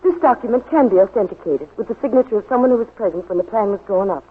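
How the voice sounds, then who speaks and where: A woman's voice: medium pitch, racing, slightly rough, natural voice. An actress playing a brave, earnest woman spy in love in a 1940s radio drama. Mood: urgent, earnest. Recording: muffled old 1940s radio broadcast, faint music.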